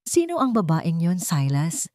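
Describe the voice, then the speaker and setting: A woman's voice: fairly low pitch, big pitch swings, a little slow, mellow, no echo, studio quality. A woman voicing a jealous, suspicious mistress in an audio story, with an agitated, accusing manner.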